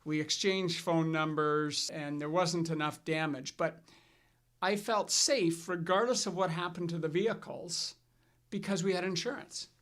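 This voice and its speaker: A middle-aged man hosting a financial advice show, telling stories in a relaxed way with fillers and restarts. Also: on the high side, unhurried. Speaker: financial advisor and show host. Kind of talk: casual scripted chat.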